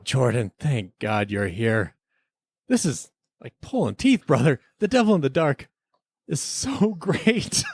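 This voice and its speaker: A man's voice: mid-range voice, very animated, slow pace, slightly rough. A man hosting a podcast with casual, comic banter in a jokey, pleading manner. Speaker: podcast host.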